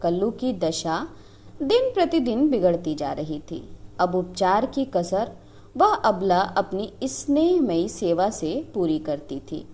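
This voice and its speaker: A woman storyteller narrating a scripted story in a dramatic, expressive manner. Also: medium pitch, slow.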